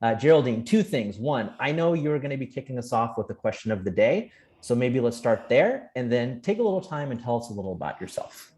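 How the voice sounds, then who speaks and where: A man's voice: mid-range voice, very animated, brisk, darkish tone. Enthusiastic man hosting a video call. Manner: enthusiastic, friendly. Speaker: co-host, program director. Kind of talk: upbeat webinar hosting.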